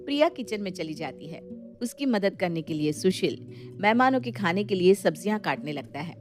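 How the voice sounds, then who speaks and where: A woman's voice: mid-range voice, animated, moderate pace, faint music behind. A woman narrator delivering scripted storytelling for a family moral tale.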